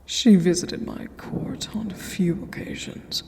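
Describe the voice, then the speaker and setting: A woman voicing a regal, haughty, ageless vampire queen in a fantasy ASMR roleplay, speaking formally and imperiously in a breathy half-whisper. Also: lowish voice, unhurried, darkish tone. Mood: haughty, cool. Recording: long cavernous reverb.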